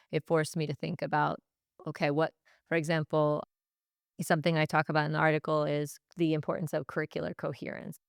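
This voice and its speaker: Low-pitched, creaky-voiced woman, reflective. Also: no echo, clean studio sound. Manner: reflective, measured, pausing to choose words. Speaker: Spanish teacher, podcast guest. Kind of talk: thoughtful interview answers.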